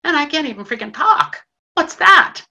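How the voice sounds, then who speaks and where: A woman's voice: high voice, animated, pushed and bright, full character voice. A woman playing a lonely, blunt station janitor. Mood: yearning. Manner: blunt, swearing, working-class, halting. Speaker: actor in a monologue. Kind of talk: stage monologue.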